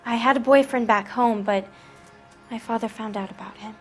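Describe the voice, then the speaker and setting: A woman's voice: on the high side, moderate pace, faint music behind, natural voice. A woman playing a naive, shaken American teenage girl in a detective game, hesitant and pleading. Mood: defensive, pleading. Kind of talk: cinematic detective game dialogue.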